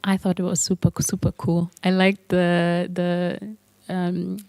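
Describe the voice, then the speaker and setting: A woman's voice: fairly low pitch, monotone, takes it slowly, a little mellow, clean tone, no room sound. A woman giving informal feedback in a casual group conversation. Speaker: workshop participant giving feedback.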